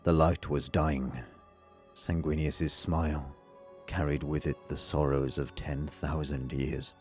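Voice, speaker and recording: A man narrating a dramatic story in a solemn, measured, theatrical way. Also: rumbling low, flat delivery, a little mellow, soft background music. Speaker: voice-over narrator. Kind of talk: dramatic scripted audiobook narration.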